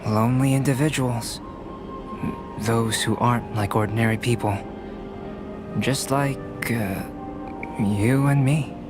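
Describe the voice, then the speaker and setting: An actor playing a calm, scholarly young male alchemist in a video game, speaking in a measured, formal, reserved way. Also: mid-range voice, close to an everyday voice. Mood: cool, reflective. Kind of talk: video game character dialogue. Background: game music.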